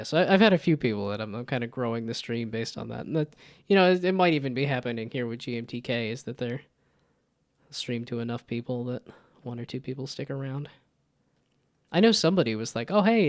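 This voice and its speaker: A young-sounding man with a slightly nasal voice, chatting casually and rambling while he streams a game. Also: mid-range voice. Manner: laid-back, rambling with restarts. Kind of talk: casual gameplay chat.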